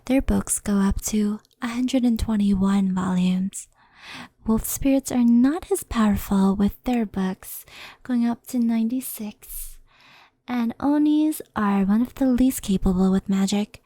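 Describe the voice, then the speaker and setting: A woman playing a gentle, wistful fox spirit in an ASMR roleplay, speaking softly and often in a whisper, close to the microphone. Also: a little high, no echo, poor audio. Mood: calm, explaining. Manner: soft-spoken, often whispery, unhurried explaining. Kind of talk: ASMR roleplay, soft storytelling. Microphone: close headphone ASMR microphone.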